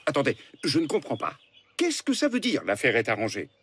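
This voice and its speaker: An actor voicing a formal, puzzled middle-aged lawyer in a cartoon. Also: male voice, mid-range voice, huge pitch swings, brisk, fairly bright tone, husky, exaggerated voice. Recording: music under dialogue.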